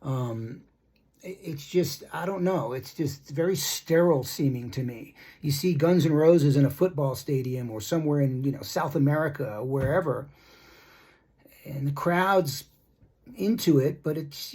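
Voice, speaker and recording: A middle-aged man giving a casual opinion monologue as a rock music commentator, blunt and critical, rambling with halting, repeated starts. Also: on the high side, a little mellow, breathy edge. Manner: rambling, repetitive, halting asides.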